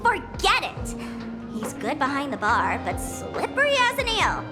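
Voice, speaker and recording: A mischievous young trickster girl of a video game, high and very lively. She taunts in an offhand, playful way, her voice trailing long reverb. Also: a little slow, full character voice. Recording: long reverb, music underneath.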